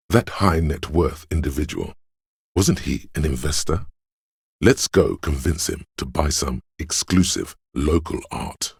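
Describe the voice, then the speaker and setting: Casting: snobbish, money-mad hustler in a game, extremely low and very husky, coaxing and smug. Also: male voice, dry recording, studio quality, full character voice. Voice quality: very deep, gravelly, husky. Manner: smug, coaxing salesman-like persuasion. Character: slick money-hungry hustler inner voice, male, scheming and snobbish. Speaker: video game voice actor. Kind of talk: scripted game voice-over, inner-voice advice.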